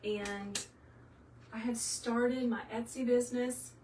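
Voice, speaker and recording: A woman's voice: on the high side, steady tone, slow pace, a little mellow. A middle-aged woman with a Southern US accent, hosting a live craft tutorial and chatting casually and folksily with her viewers. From South Carolina, US. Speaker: craft tutorial livestream host. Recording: roomy, distant mic, faint noise.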